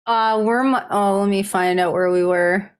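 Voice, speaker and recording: A woman's voice: mid-range voice, steady tone, moderate pace, clear, resonant tone. A woman guest in a casual podcast interview who gives short, dry answers.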